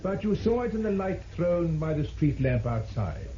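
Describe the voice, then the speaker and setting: An actor playing a formal, relentless prosecutor cross-examining a witness in an old-time radio drama. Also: male voice, fairly high pitch, very animated, moderate pace, mellow. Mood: probing. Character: probing, relentless male prosecutor in a courtroom. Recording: muffled, noisy vintage radio recording.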